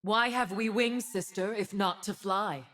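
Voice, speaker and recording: A woman's voice: mid-range voice, measured pace, fairly bright tone, lightly acted. An actress voicing a stern, righteous armored angel woman in game voice lines, cold and commanding.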